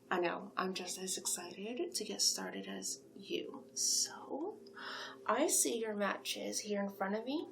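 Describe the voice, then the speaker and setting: Slow, creaky female sphinx matchmaker, acted by a woman. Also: mid-range voice, slight background noise. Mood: flattering, welcoming. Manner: coaxing, flattering, chatty, whispery in places. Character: flattering, gossipy female sphinx monster matchmaker. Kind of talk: soft-spoken ASMR roleplay.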